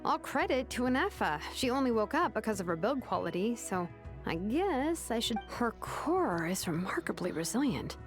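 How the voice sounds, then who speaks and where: A woman playing a modest, technically minded young mechanic in a video game, casual and quick to explain. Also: a little high, sing-song, soft background music. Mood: modest, analytical.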